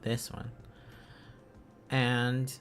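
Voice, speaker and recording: Male streamer, mid-range voice, unhurried and rambling, playful informal teaching with light background music. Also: mid-range voice, completely flat delivery, a bit bright. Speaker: live streamer. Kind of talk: casual live-stream lesson, thinking aloud.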